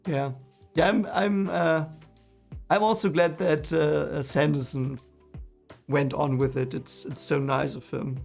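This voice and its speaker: A man's voice: fairly high pitch, fairly even intonation, takes it slowly, gravelly, darkish tone, soft background music. A man with a German accent, German his first language, chatting casually with his live chat about a book he is reading, rambling with many fillers and restarts. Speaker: streamer reading a book series.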